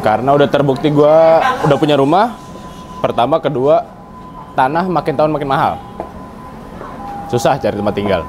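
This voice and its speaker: A man's voice: on the high side, animated, normal speed, long pauses, background noise. A twenty-four-year-old man giving blunt, short answers in an interview. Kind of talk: casual slangy interview answers.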